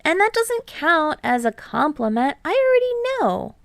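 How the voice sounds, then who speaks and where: A woman voicing an eager, teasing teenage boy athlete in an audiobook-style story reading, energetic and playful. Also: very high-pitched, a little slow, creaky, no room sound, studio quality, pitched above the performer's own voice, exaggerated voice. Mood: insistent. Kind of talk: audiobook character voice in fan fiction reading.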